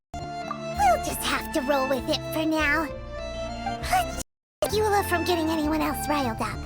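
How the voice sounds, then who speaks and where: Tiny childlike fairy mascot: extremely high, animated, fussy, anime game, prominent music. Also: female voice, measured pace. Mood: nervous, hasty. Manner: refers to self in third person, squeaky. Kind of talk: scripted anime game dialogue.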